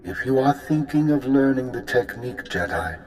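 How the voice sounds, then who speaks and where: A man's voice: medium pitch, expressive, normal speed, a little mellow, slight background noise, lightly acted, low-quality recording. A man voicing a solemn ancient Jedi master spirit in a video game, formal and cautionary. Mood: grave caution.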